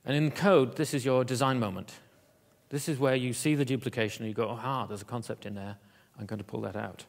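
Gravelly-voiced man giving a halting keynote. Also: mid-range voice, fairly even intonation. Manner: halting, many fillers and restarts. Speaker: keynote speaker. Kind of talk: conference keynote talk.

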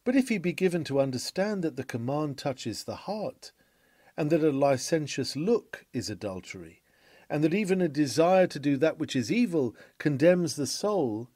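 A man reading a classic sermon from a script in a solemn, measured, oratorical manner with emphatic phrasing. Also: a little high, mellow, dry recording.

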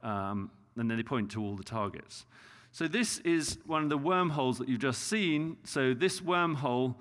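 A man's voice: medium pitch, expressive, normal speed, a bit bright. A middle-aged man with a British accent giving a conference keynote, explaining results with many fillers and restarts. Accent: British English. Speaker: keynote speaker. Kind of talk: conference lecture. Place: large conference hall. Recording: hall reverb.

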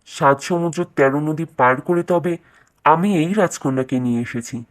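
A woman's voice: low-pitched, moderate pace, pitched below the performer's own voice, close to an everyday voice. A woman voicing a proud, outraged king in a fairytale, with an indignant, blustering manner. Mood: furious. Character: outraged, proud adult male king challenging a rival.

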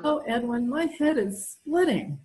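A woman's voice: on the high side, expressive, a little slow, darkish tone. A middle-aged woman playing a genteel, put-upon wife in an amateur old-time radio comedy. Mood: plaintive. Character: melodramatic, put-upon wife feigning frailty, sweetly genteel. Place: room at home, video call. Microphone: video call.

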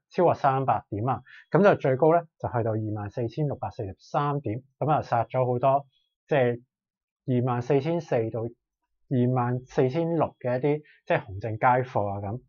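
A young man with a Hong Kong Cantonese accent gives conversational market commentary as a financial reporter, explaining informally with frequent fillers and drawn-out particles. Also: medium pitch, highs cut off. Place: small indoor studio, seated at desk, speaking through a face mask.

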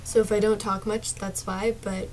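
A young woman streaming a game from her small dark bedroom, chatting casually to viewers with a sore, partly lost voice and drifting into mumbles. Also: medium pitch, flat delivery, moderate pace, slight background noise. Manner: soft-spoken, drifts into whispers and mumbles. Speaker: gaming streamer.

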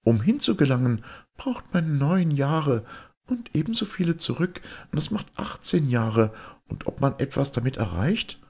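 High, breathy fairy-tale wife voiced by a man. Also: sing-song, unhurried, full character voice. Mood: gentle, calm. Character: clever, calm, devoted young wife in fairy tale.

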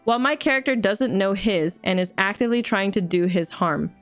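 A young woman streamer reading a viewer's story aloud in an earnest, steady way, then reacting in loose, chatty talk, recorded on a desk mic at home. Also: medium pitch, fairly quick, faint music behind.

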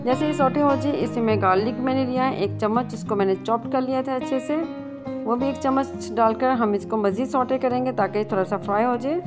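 A woman with a fairly high voice talks through a recipe step by step in a casual way. She mixes English words into her instructions, and plucked guitar music plays clearly behind her. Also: brisk. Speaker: cooking channel host.

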